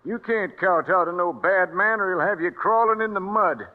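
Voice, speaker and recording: A man's voice: high voice, normal speed, lightly acted. An American actor playing a stubborn, irascible old man in a radio western, with folksy, cantankerous frontier talk. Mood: indignant. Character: feisty wounded old-timer, stubborn and irascible. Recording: muffled old radio broadcast recording.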